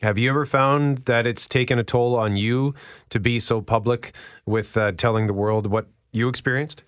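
A man's voice: medium pitch, steady tone, normal speed, no room sound, studio quality. A Canadian man co-hosting a radio interview, putting his questions carefully and tentatively.

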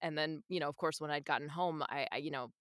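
A woman's voice: low voice, quick pace, no room sound, studio quality. A woman speaking as an interview guest in a casual, chatty, effusive way. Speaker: audiobook narrator, interview guest.

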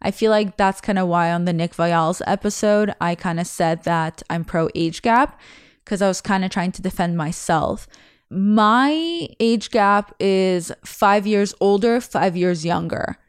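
Woman, young adult, Russian native, candid chatty podcast monologue, smooth resonant voice, dry close sound. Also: medium pitch, a little slow, dry recording. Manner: candid, chatty, rambling with restarts. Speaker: podcast host. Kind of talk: casual confessional monologue.

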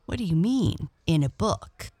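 A woman's voice: on the low side, very animated, measured pace, warm tone, a little hiss or hum. A woman voicing a small, timid lost female cat in a storybook, polite, meek and curious.

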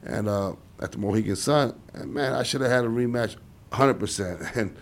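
A man's voice: mid-range voice, fairly quick. A man in his forties from Chicago, a guest in a casual interview, telling stories in a loose rambling way full of "you know". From Chicago, USA. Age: 40s. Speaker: interview guest, former boxer.

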